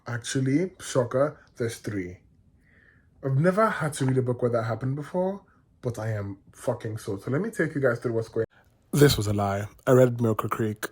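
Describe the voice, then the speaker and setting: Excitable man gushing about books. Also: mid-range voice, normal speed, husky. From Nigeria. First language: Yoruba. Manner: excitable, gushing, rambling with restarts. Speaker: book vlogger. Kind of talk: casual reading vlog chat.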